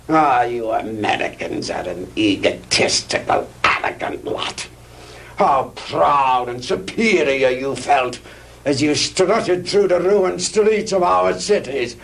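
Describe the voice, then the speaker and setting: An actor playing a proud, vengeful mad scientist in a 1960s B-movie, lecturing pompously with theatrical pauses on an old film soundtrack. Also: male voice, fairly high pitch, vocal fry, a bit bright, recorded from a distance, full character voice. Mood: bitter, grandiose. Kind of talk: 1960s B-movie sci-fi acting.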